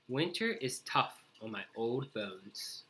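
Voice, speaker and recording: Old male animal sage, man reader acting: storybook advisor, weary, theatrical, musing, animated pitch. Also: slow, rough recording. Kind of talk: children's storybook character voice.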